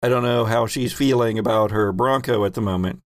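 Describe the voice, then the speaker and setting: A man's voice: mid-range voice, fairly quick, no echo. A man running a tabletop role-playing game as game master, prompting the players with easygoing questions.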